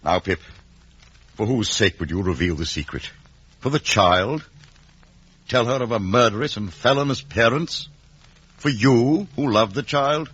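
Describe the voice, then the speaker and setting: A shrewd middle-aged lawyer in a vintage radio play. He is guarded and commanding, with a husky voice and very lively, deliberate emphasis. The sound is dry and muffled. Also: medium pitch, dry recording. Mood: stern. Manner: emphatic, deliberate cross-examining. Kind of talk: 1950s radio drama acting. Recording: dull, roomless old radio recording.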